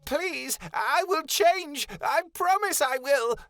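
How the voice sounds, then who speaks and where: A man's voice: very high-pitched, lively intonation, takes it slowly, crowd noise, voice pushed up, full character voice. A man voicing a terrified elder male fairy who stammers and whimpers as he pleads.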